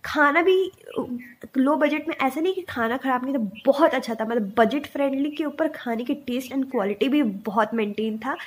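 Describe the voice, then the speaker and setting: A woman in her twenties narrating a casual food vlog, chatty and enthusiastic, mixing in English words. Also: high voice. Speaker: food vlogger.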